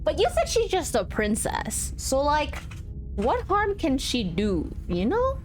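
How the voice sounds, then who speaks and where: A woman livestreamer with a fairly high voice plays through a game. She is casual, playful and slangy, reading options aloud and musing as she goes. Faint game music sits behind her. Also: wildly swooping pitch, slow, low-quality recording. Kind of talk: casual gaming stream chat, reading choices aloud.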